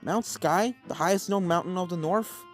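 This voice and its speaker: A man's voice: high-pitched, moderate pace, soft background music, lightly acted. Man as a brash, muscled unicorn stallion. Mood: eager.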